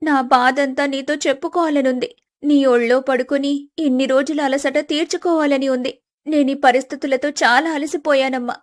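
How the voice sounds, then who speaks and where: A woman playing a gentle, wronged young daughter in a family story, earnest and pleading in manner. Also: sits high, fairly even intonation, normal speed, lightly acted. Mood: pleading, sorrowful. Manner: soft-hearted, pleading, earnest.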